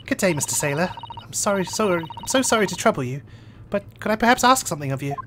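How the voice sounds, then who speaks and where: An English-accented man commenting on a story game, reading its lines and cracking playful jokes. His voice sits a bit high and moves around a lot in pitch. A noisy background runs under his voice throughout. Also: sing-song, fast talker. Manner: playful, joking asides. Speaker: let's play host. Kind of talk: casual game commentary, reading dialogue aloud.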